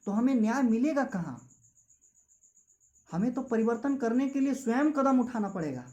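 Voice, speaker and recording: A man's voice: very high-pitched, lively intonation, moderate pace, mellow, lo-fi sound. A young man with a Jharkhand Hindi accent giving an impassioned political speech as a student activist, with repetitive rhetorical phrasing. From Jharkhand, India. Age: young adult.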